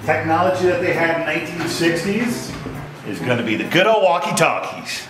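A man's voice: fairly high pitch, expressive, a little fast, echoey room, noisy background. A middle-aged man, a father, chatting in a jokey, upbeat way in an underground bunker. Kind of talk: casual chat.

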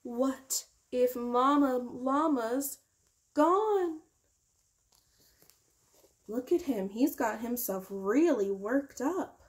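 Young female storytime teacher, higher-pitched voice, very slow and deliberate expressive read-aloud for small children. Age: young adult. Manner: slow, deliberate, drawn-out key words. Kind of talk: expressive picture-book read-aloud with simple explanations for children.

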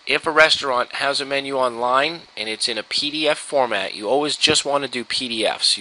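An American man giving an informal software tutorial, explaining step by step in a casual, instructional way. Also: fairly low pitch, a little hiss or hum, low-quality recording. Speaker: software trainer. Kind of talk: informal screen-share tutorial. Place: indoors, at a computer.

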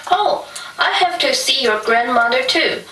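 A woman playing a caring, cautious mother in a puppet show, instructive and deliberate. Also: high voice, expressive, sharp tone, heavily acted. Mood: caring, cautioning. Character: caring, cautious mother of two young girls. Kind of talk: puppet show stage acting. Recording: echoey room from far off, faint hiss.

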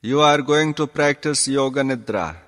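A man teaching yoga through guided meditation, calm and deliberate, giving instructions in short measured phrases. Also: medium pitch. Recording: long reverberant hall sound.

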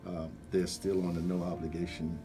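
A man answering questions as a radio interview guest, speaking in halting, hesitant phrases. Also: low voice, little pitch movement, over music. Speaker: guest, veterans program representative. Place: small radio studio. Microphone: studio microphone.

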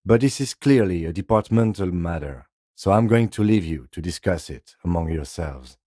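Very deep-voiced, dry police lieutenant. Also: male voice, animated, mellow, no echo. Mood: calm, matter-of-fact. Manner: measured, precise, formal, understated. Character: composed, dry, dutiful male police lieutenant. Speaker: voice actor in a video game. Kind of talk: scripted game dialogue.

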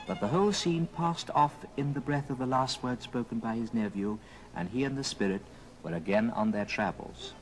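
A man narrating an old radio drama as a dramatic storyteller, with theatrical, deliberate phrasing. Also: medium pitch, lightly acted, low-quality recording. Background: music.

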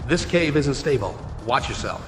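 An actor playing a terse, authoritative special agent in clipped video game cutscene dialogue. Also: male voice, medium pitch, sing-song, normal speed, over music, natural voice. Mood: terse, controlled. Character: terse, commanding male special agent leading stranded soldiers.

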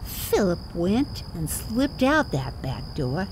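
An embittered old village busybody in an audio drama, blunt and folksy. Her delivery swings with lively pitch, over a faint night-time backdrop of crickets. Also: slow pace, a little hiss or hum, low-quality recording. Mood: indignant, gossipy. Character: feisty, nosy, embittered elderly village woman.

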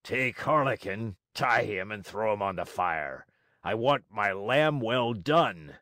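A man voicing a huge, gruff, bearded puppet master with a hoarse voice, blustering but soft-hearted, in a storybook reading. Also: lowish voice, lively intonation, slow, no echo, studio quality. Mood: stern, commanding.

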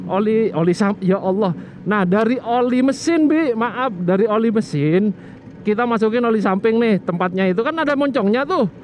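A man casually explaining a scooter maintenance trick outdoors, rambling and often restarting or correcting himself. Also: sits high. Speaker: motovlogger. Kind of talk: casual how-to vlog chat. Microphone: action camera. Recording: muffled action-cam audio with street noise.

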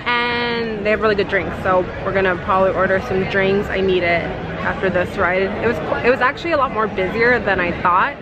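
A woman's voice: medium pitch, fairly quick, hardly any pauses, muffled recording, over a noisy crowd. A young woman vlogging casually to a handheld camera, chatty with lots of fillers and restarts as she walks around a city. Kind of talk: casual vlog chat. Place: outdoors in a city at night and by the water. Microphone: handheld camera at arm's length.

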